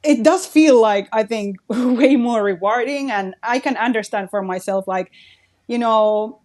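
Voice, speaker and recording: A woman's voice: a little high, a little slow, darkish tone. A woman from Finland with a Finnish accent, a guest artist talking reflectively in a casual podcast chat, with "like" in nearly every phrase. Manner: reflective, says "like" very often.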